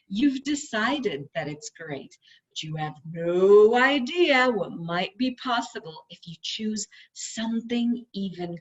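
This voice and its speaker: A woman's voice: mid-range voice, slightly rough. A woman hosting a read-along, reading a book aloud with emphatic phrasing and stretched words. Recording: dull lo-fi audio.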